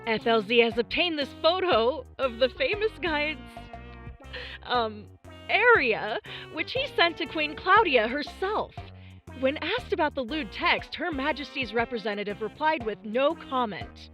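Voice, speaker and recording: Woman playing a high, bright tabloid reporter. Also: animated, measured pace, muffled recording, soft background music, heavily acted. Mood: sensational. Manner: slick, sensational announcing. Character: gossipy female tabloid TV news reporter. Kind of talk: newscast parody in a comic dub.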